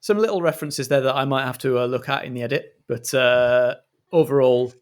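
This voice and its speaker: A man's voice: medium pitch, fairly quick. A man narrating an improvised comedy roleplay as game master.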